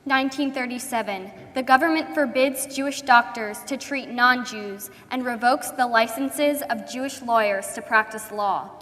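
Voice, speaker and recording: Female reader, bright, slightly high voice, scripted historical narration in a reverberant hall. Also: normal speed, hardly any pauses. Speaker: reader in a dramatic reading.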